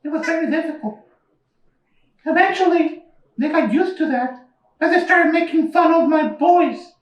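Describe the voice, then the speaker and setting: A woman voicing a young, squeaky monster puppet telling stories in a simple, childlike way at a live puppet show. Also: normal speed. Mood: glum. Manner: childlike, simple storytelling to an audience. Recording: echoey room, slightly distant mic.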